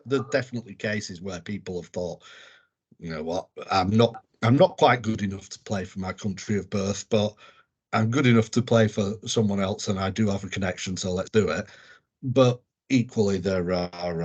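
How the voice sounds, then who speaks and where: A man's voice: lowish voice, a little mellow, breathy edge, muffled recording. An English man co-hosting a podcast, talking casually with many ums and restarts. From England. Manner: rambling with many fillers and restarts. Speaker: podcast co-host. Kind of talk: casual sports chat.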